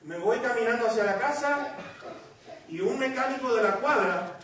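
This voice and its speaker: Man, Puerto Rican accent, preacher, storytelling sermon, high voice, distant mic. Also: expressive, normal speed, a bit bright, a little hiss or hum. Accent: Puerto Rican Spanish. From Puerto Rico. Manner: storytelling, acting out quoted voices. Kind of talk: sermon with personal testimony. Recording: echoey hall, distant mic.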